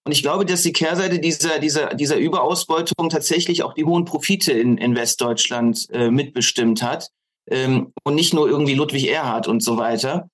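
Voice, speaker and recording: A man answering as a guest in a political discussion, haltingly and deliberately, repeating words as he formulates. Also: on the high side. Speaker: interview guest, author.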